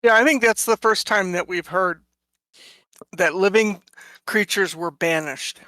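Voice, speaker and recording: A woman's voice: mid-range voice, measured pace, a bit bright, no echo. A woman who plays at a tabletop game and thinks aloud, hesitating as she weighs her moves. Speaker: player.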